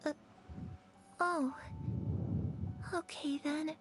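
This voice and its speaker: A woman's voice: sits high, fairly even intonation, extremely slow, a bit bright, a little hiss or hum, exaggerated voice. An actress playing a trusting, curious young girl in a video game, chatty and candid in a childlike way.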